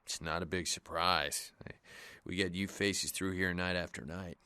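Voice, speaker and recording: Low-voiced, hesitant slacker shopkeeper. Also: male voice, fairly even intonation, normal speed, bright, forceful tone, clear, resonant tone, dry recording. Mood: sheepish, laid-back. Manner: hesitant, rambling stoner drawl. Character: nervous, spaced-out slacker male pawn shop owner. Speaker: shopkeeper character in a video game. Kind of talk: video game voice acting.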